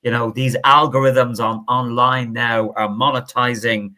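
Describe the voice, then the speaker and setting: A middle-aged man with a Northern Irish accent, a professor speaking as an interview guest in an animated, emphatic, opinionated way. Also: mid-range voice, a little slow. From Northern Ireland. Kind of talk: conversational interview.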